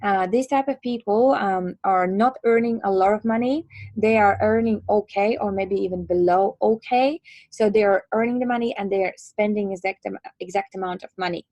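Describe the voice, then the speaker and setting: Halting Latvian-accented woman presenting, dry sound. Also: medium pitch, a little mellow, no room sound, lo-fi sound. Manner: hesitant, halting delivery with frequent filler words. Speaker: presenter and trainer. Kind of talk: online presentation, semi-scripted explaining.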